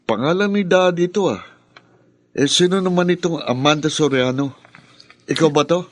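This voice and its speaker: A man's voice: on the high side, normal speed, no room sound, natural voice. A man acting a hot-headed, scornful son in a Filipino radio drama, with an emotional, theatrical delivery. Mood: mocking.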